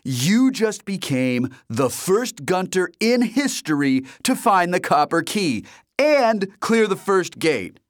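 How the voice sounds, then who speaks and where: A man voicing an excitable young man, the narrator's loud, gushing best friend, shouting over the top with excitement. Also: sits high, slow pace, dry recording, studio quality, voice pushed up, exaggerated voice. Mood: excited. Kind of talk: audiobook character voice.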